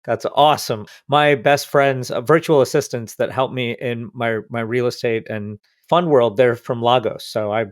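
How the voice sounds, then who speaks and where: A man's voice: medium pitch, steady tone, normal speed, hardly any pauses, no room sound, studio quality. A man hosting a podcast interview, talking in an enthusiastic, effusive way. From India. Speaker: podcast host.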